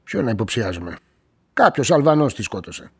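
A brusque, busy male shopkeeper in a radio play. The voice is husky and swings widely in pitch, with a curt, dismissive, defensive way of talking. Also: very animated. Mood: defensive, irritated. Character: busy, brusque, defensive male auto-parts shop owner. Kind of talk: radio drama acting.

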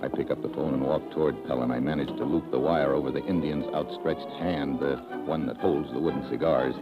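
An actor playing a tough, wry American secret agent in a 1950s radio adventure, with clipped hard-boiled delivery. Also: male voice, a bass voice, steady tone, fairly quick, dull audio. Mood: tense narration. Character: tough, quick-thinking American male secret agent hero. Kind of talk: 1950s radio adventure drama acting and narration. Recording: old radio broadcast with music.

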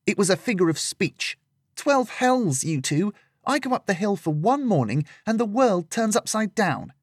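Audiobook: man doing a prickly, impatient young woman, pitched up. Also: very animated, normal speed, husky, no room sound, clean studio sound, heavily acted. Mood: exasperated. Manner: raised pitch, indignant outbursts.

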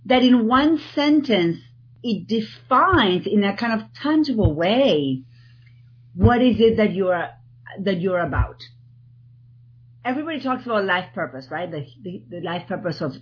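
A woman from Argentina with an Argentine accent, a guest in an interview, talking in an animated way and often restarting her sentences. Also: mid-range voice, breathy edge, highs cut off. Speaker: guest, TEDx organizer. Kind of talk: podcast interview.

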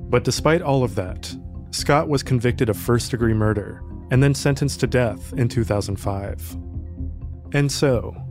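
A man reading a scripted case summary in a measured, even storytelling voice. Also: mid-range voice. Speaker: podcast narrator. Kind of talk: scripted narration. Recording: music bed under voice. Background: music.

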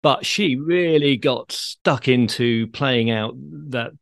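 A man's voice: mid-range voice, slow pace, no room sound, studio quality. A man with a British accent hosting a podcast interview in a conversational style. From United Kingdom. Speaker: podcast host.

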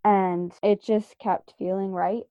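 A woman's voice: mid-range voice, little pitch movement, slow, smooth, dry recording, muffled recording. A woman guest earnestly telling a personal story in a casual faith discussion.

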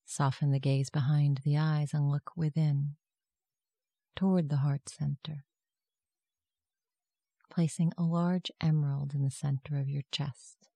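A meditation guide giving gentle, soft-spoken, measured instructions in a scripted guided meditation. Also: medium pitch, level tone, gravelly, no echo.

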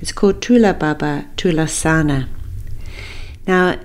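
A woman from Zambia hosting a podcast as a singing teacher, talking informally in a gentle, encouraging, friendly way. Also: low voice, unhurried.

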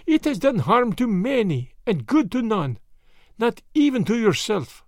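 Guy doing a dignified foreign lady from a storybook, high voice, accented, defiant and grave, swooping intonation. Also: big pitch swings, a little slow, a little mellow, dry recording, studio quality, heavily acted. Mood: disdainful. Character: defiant, dignified foreign woman confessing, weary and scornful.

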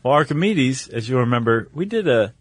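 Guy podcast cohost, explaining stuff casually, middle pitch, really dry close sound. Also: very animated, fairly quick, dry recording. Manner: conversational, explains with rhetorical check-ins. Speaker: podcast co-host.

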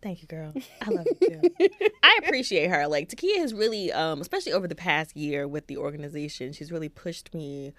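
A woman in her thirties co-hosting a podcast in casual chat, soft-spoken and reflective. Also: sits low, big pitch swings, a little fast, no echo. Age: 30s. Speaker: podcast co-host.

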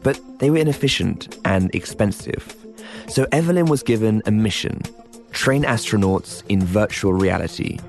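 A man's voice: on the low side, lively intonation, normal speed, gravelly, a little mellow, soft background music. A man hosting and narrating a documentary podcast in a scripted storytelling style. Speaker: host and narrator.

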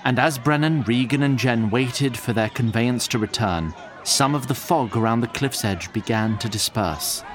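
A man narrating a scripted fantasy tale in a theatrical, deliberate and wry manner. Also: medium pitch.

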